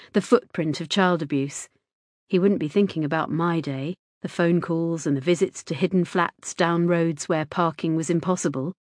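A woman reading a first-person story as an audiobook narrator, in a measured, inward storytelling manner. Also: on the low side, dry recording, clean studio sound.

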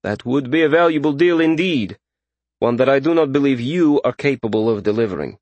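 A man's voice: mid-range voice, lively intonation, a little fast, a little mellow, no echo, voice pushed up, natural voice. A man voicing a proud, fanatical middle-aged king in a fantasy audiobook, speaking formally and with imperious emphasis. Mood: haughty, guarded.

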